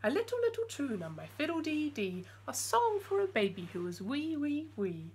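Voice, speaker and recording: A stern magical woman doctor from a storybook , put on by a woman reader. She instructs in a high, animated, sing-song voice. She is eccentric and sure of herself. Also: moderate pace, full character voice. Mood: chanting.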